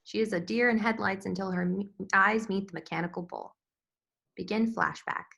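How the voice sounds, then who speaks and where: Female stage-directions reader, crisp bright edge, gravelly fry, scripted screenplay narration. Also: mid-range voice, fairly even intonation, normal speed.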